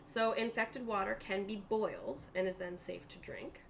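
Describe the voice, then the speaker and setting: A woman's voice: medium pitch, fairly even intonation, moderate pace, creaky, a little hiss or hum. A woman narrating a tabletop roleplaying game as game master, explaining things in a conversational way.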